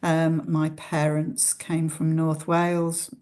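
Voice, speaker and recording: Woman, Scouse accent, middle-aged, low voice, unhurried, hesitant fillers. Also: monotone, a little mellow. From Liverpool, England. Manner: thoughtful, many ums and uhs. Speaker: pioneer priest. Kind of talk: reflective personal talk.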